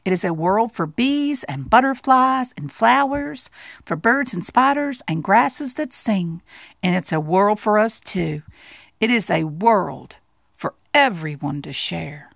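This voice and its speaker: A tender mother mouse from a storybook, played by a woman. She reassures in a soothing, unhurried way with expressive rises and falls. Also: medium pitch, gravelly, no room sound, studio quality. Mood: tender, reassuring. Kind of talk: storybook character voice.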